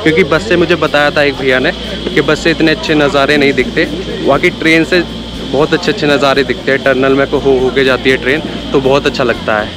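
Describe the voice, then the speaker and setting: A man's voice: mid-range voice, fairly even intonation, a little fast, poor audio. A man vlogging casually from a train journey, chatting to viewers and mixing English words into his Hindi. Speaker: travel vlogger. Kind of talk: casual travel vlog narration. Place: on a train and at stops along the way. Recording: loud background music. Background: music.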